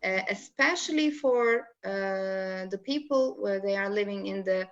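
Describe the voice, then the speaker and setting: A woman from Turkey with a Turkish accent, speaking English as a coach being interviewed, explaining in an animated way with halting non-native phrasing. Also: medium pitch. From Istanbul, Turkey. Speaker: spiritual coach, interview guest. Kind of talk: explaining in a live online interview. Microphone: video call. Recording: dull, lo-fi video call audio.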